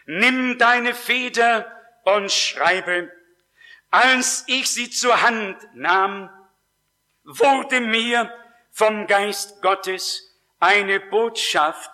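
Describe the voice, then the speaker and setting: A male preacher delivers his sermon at an unhurried pace, leaning hard on key words. His voice sits high for a man and has a bright, forceful edge. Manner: slow, emphatic preaching with rising stresses. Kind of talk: sermon with personal testimony.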